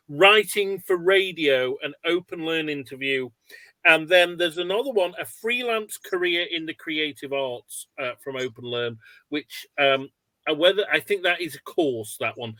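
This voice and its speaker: A man hosting an online webinar in a casual, chatty way, with halting, rambling explanations. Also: high voice, fairly bright tone, dry recording, low-quality recording. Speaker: webinar host. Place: indoors on an online video call.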